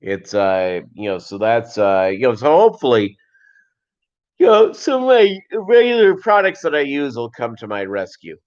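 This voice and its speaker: A middle-aged American man chatting casually and unscripted on a livestream, rambling and halting with a jokey manner. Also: mid-range voice, huge pitch swings, a little mellow. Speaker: fishkeeping livestream host and voiceover performer. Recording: dull lo-fi livestream audio.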